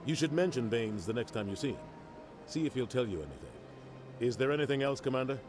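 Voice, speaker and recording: An actor playing a seasoned male captain, speaking in a measured, confiding way. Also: mid-range voice, animated, natural voice. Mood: guarded. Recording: game audio with music.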